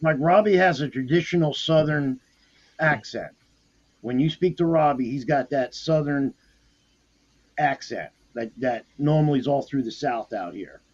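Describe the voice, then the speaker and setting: A man's voice: medium pitch, measured pace, darkish tone. A man with a New York accent bantering bluntly with friends on a live stream. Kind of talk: casual chat. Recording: muffled lo-fi audio.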